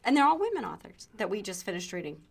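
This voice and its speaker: A woman's voice: mid-range voice, big pitch swings, fast, creaky. A woman co-hosting a show, talking casually and conversationally as she recommends books. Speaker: co-host of a book recommendation show. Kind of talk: casual conversational book chat.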